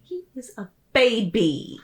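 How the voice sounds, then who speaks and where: A woman's voice: a little high, big pitch swings, takes it slowly. A woman chatting casually as a co-commentator, giving short, informal reactions.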